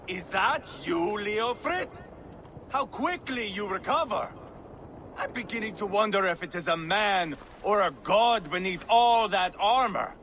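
A boisterous Viking warrior who taunts everyone around him, his pitch leaping about. Clear game ambience sits behind him. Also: animated, measured pace, fairly bright tone, a little hiss or hum. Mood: taunting. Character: brash mocking Viking warrior, young man.

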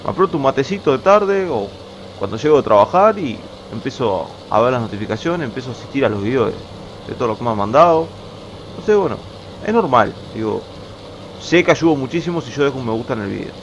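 A man's voice: mid-range voice, lively intonation, fairly quick. A young man from Uruguay with a Uruguayan Spanish accent, chatting casually and rambling as he plays a truck-driving game at home. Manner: chatty, rambling, restarts words often. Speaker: gaming YouTuber. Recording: slightly dull home recording with faint game noise.

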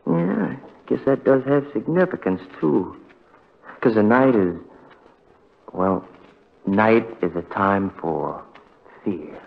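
An actor playing a haunted, heartbroken young man, telling his story with halting, conversational pauses in 1940s radio drama style. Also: fairly low pitch, expressive, creaky, full character voice. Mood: uneasy, brooding. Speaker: narrator character. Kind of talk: 1940s radio drama first-person narration. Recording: muffled vintage radio broadcast with music.

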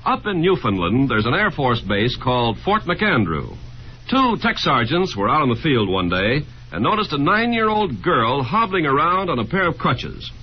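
A man's voice: fairly high pitch, very animated, normal speed. A man reading a scripted announcement as a 1950s radio announcer. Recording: dull, noisy vintage radio recording.

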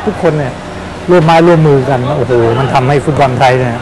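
A man in his sixties, a veteran football coach, talking animatedly in an outdoor interview beside a pitch with a lapel mic. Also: quick pace, a crowd in the background, rough recording. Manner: animated, exclaims often.

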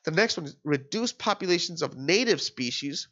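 A man's voice: on the high side, expressive, normal speed, fairly bright tone. A young man tutoring an exam problem in a casual, think-aloud way, a little nasal and stuffy from allergies. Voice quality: slightly nasal. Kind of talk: screen-recorded exam walkthrough. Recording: slightly dull lo-fi sound.

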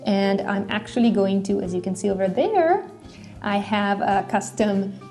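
A woman's voice: mid-range voice, unhurried, dark tone. A woman in her thirties giving casual, chatty how-to advice to a camera on a tripod in her home kitchen, with fillers and self-corrections. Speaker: pet care vlogger. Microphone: camera on tripod at a distance. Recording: echoey kitchen with faint music.

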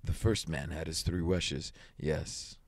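A man voicing a grave, gruff middle-aged soldier in a story, with a quiet, solemn, dogged manner. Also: low voice, moderate pace, dry recording.

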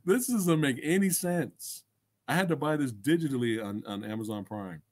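A man's voice: mid-range voice, moderate pace. A middle-aged man hosting a casual livestream, talking to viewers in an enthusiastic, slangy way with some swearing. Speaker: livestream host and film reviewer. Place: small indoor room crammed with collectibles. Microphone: desk microphone close in front.

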